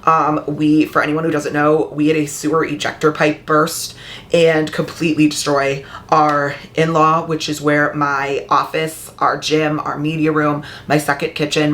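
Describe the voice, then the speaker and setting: A woman book vlogger talking in a casual, heartfelt way, her voice a little raspy and hoarse after being ill. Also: low-pitched, a bit bright, slight background noise. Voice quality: slightly raspy. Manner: chatty and heartfelt. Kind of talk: casual vlog chat. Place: upstairs room at home.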